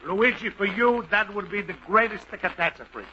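A man's voice: high-pitched, moderate pace, lightly acted. An actor playing a scheming, boastful immigrant man in a radio comedy, with a wheedling, theatrical manner. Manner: wheedling, theatrical, swings from loud to hushed. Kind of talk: 1940s radio acting. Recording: dull old radio broadcast with clear hiss.

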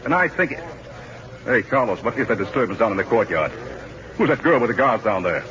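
Oily low-voiced scheming general. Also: male voice, very animated, brisk, a bit bright. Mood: plotting. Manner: oily, courtly, theatrical menace. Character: scheming, suave Spanish general, powerful villain. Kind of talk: 1940s radio drama acting. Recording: dull, hissy 1940s radio recording.

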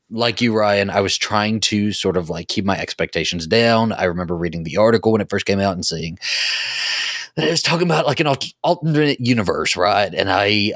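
A man's voice: low voice, fairly bright tone, no room sound, clean studio sound. A man co-hosting a podcast, chatting casually and effusively as a fan. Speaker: podcast co-host.

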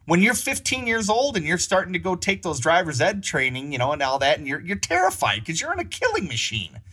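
Animated, husky-voiced man hosting. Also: sits high, fairly quick. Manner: rambling, with many restarts and laughs. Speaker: podcast host. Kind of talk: casual interview chat.

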